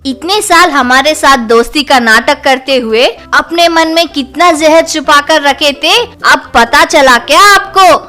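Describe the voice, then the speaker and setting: Woman acting a shrill, combative young mother. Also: unhurried, pushed and bright, soft background music. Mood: accusing. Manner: indignant, accusing. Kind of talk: Hindi animated comedy story acting.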